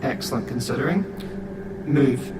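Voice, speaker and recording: An actor playing a greedy, menacing thief in an audio drama, sardonic and mock-polite. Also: male voice, mid-range voice, expressive, normal speed, lightly acted. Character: sly, menacing, greedy male armed thief. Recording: loud vehicle-like background noise.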